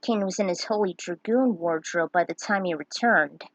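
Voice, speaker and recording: A woman's voice: lowish voice, normal speed, no echo, rough recording. A woman reading a story aloud as narrator, in a dramatic storytelling style. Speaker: fan fiction reader, narrator.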